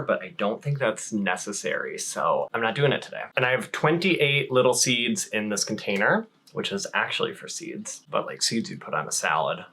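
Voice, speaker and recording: A man's voice: on the low side, pushed and bright. A man chatting casually as a plant vlogger, playful and self-deprecating, drifting into rambling asides. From Wisconsin, United States. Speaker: plant vlogger and shop owner. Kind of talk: casual how-to vlog chat.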